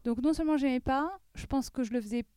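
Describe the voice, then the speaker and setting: A woman's voice: sits high, fast talker, dark tone, smooth, no echo, studio quality. A woman in her thirties telling a personal story as an interview guest, hesitant with frequent restarts and fillers.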